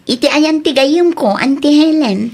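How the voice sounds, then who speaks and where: A woman's voice: high-pitched, unhurried, darkish tone. A woman acting a defensive young student, a younger sister, in an Ilocano audio drama. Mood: pleading.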